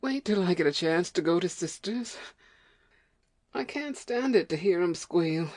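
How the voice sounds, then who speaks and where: Overworked farm wife played by a woman, lowish voice, fretful and complaining, rustic dialect, audio drama. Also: animated, measured pace, darkish tone. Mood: nervous, nagging. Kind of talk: amateur audio play acting.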